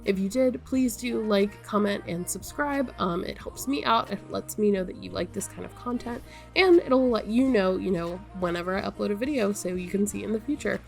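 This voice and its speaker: Young woman yapping to her viewers, stuffy nose, nasal and kinda croaky from being sick, lots of ums. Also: mid-range voice, moderate pace, faint music behind. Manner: rambling, full of ums and likes. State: stuffy-nosed and sick, voice wearing out. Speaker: gaming YouTuber. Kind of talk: casual chat to viewers.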